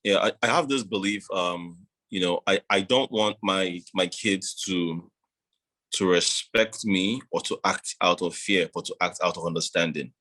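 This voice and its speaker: A man being interviewed, talking in a measured, reflective way with many "you know"s. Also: low voice, bright, forceful tone. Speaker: guest. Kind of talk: casual interview.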